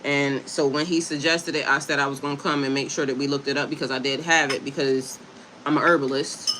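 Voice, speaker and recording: A woman's voice: a bass voice, fairly even intonation, a little fast, background noise. A woman from Delaware hosting a casual livestream, chatting with viewers and reading aloud from a website, stumbling over hard words and spelling them out. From Delaware, USA. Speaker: livestream host and herbalist.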